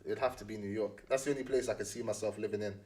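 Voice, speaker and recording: A man's voice: lowish voice, fairly even intonation, racing, darkish tone. A young man with a London accent chatting casually to livestream viewers, slangy and often repeating phrases for emphasis.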